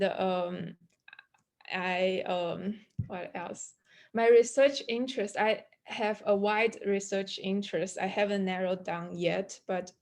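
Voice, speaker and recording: Young woman from China, PhD panelist, mid-pitched, halting with fillers, video call. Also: a little mellow. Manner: hesitant, many fillers. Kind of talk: online panel self-introduction.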